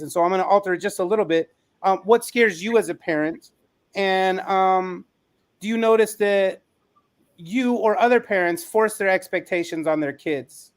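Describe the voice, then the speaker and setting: A man hosting a panel podcast, informally putting questions to guests in an encouraging, direct way. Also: high voice. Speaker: podcast host, moderator.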